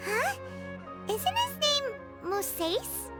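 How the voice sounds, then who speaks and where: A woman voicing a small, curious, childlike fairy companion with a squeaky voice in a video game. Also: wildly swooping pitch, extremely slow, over loud music, heavily acted. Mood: puzzled.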